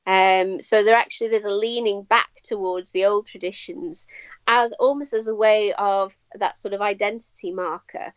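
A woman talks as a guest academic, measured and reflective, often restarting her sentences. Her audio is dull and lo-fi, with no room sound at all. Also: mid-range voice, fairly even intonation, clean tone, no echo. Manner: measured, thoughtful, with frequent ums and restarts. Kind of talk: explanatory interview talk.

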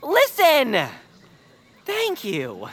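Anxious, organized kid activist, high voice, very wide expressive swings, earnest, faint noise behind. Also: female voice, very slow, full character voice. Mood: earnest, urgent. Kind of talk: comic audio drama for children.

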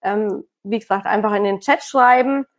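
A woman's voice: medium pitch, moderate pace, highs cut off. A woman who owns an online agency, presenting a webinar talk in a friendly way with many hesitations. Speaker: agency owner, webinar guest speaker.

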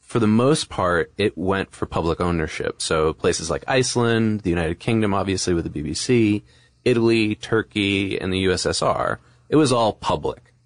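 A man's voice: low-pitched, lively intonation, normal speed, no echo, clean studio sound. A man chatting and explaining in a casual, discursive way as a podcast co-host. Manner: conversational, discursive.

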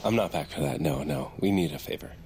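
An actor playing an exasperated, defensive crook on the run in an audio drama, hedging and easily flustered. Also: male voice, very deep voice, expressive, fast talker, a little mellow, slight background noise. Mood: placating.

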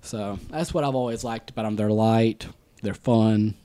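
A man in his twenties chatting on a podcast, his voice mellow and warm. He laughs often and slips into little acted quotes. The sound is dry and close. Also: on the low side, moderate pace, clean tone, no room sound. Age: 20s. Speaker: podcast co-host. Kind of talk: casual chat.

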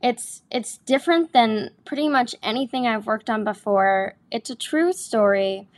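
A young woman who acts on stage talks through her work in a relaxed interview. She sounds earnest and eager, often restarting her sentences. Her voice sits a little high. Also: a little slow. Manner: earnest and enthusiastic, with frequent restarts. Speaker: stage actor, guest. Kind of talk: casual interview chat.

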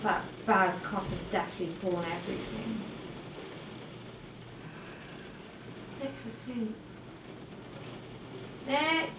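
A woman's voice: medium pitch, lively intonation, unhurried. An actress playing a protective woman in a naturalistic audio drama. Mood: quiet concern. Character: protective woman guarding a bedroom at night. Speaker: actor in an audio play. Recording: echoey, distant, noisy room recording.

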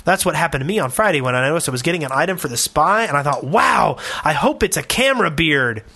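A man with a fairly high, very bright voice co-hosts a casual podcast. He moves between reading listener mail aloud and chatting about it with his co-hosts. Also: lively intonation, fast talker, slightly rough. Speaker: podcast co-host.

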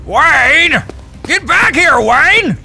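Exasperated, gravelly facility lackey. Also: male voice, very high-pitched, sing-song, extremely slow, bright, forceful tone, faint music behind, heavily acted. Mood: shouting, urgent. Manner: sighing, grumbling, foul-mouthed. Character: exasperated male staffer at a sinister facility, crude lackey. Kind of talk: audio drama acting.

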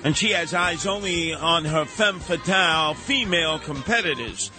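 A man hosting a radio talk show, emphatic and theatrical, repeating words for effect in an animated, opinionated style. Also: fairly high pitch, normal speed, music in the background.

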